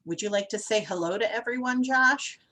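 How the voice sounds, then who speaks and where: A woman's voice: fairly high pitch, brisk, bright, forceful tone. A woman hosting an online club meeting over a video call, friendly and orderly as she introduces each speaker. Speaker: meeting host.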